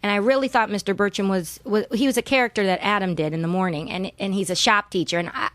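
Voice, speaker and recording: A woman's voice: medium pitch, quick pace, no echo. A middle-aged woman, guesting on a podcast and telling rambling stories with frequent laughs. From Southern California. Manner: warm, laughing often, rambling storytelling. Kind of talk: casual interview chat.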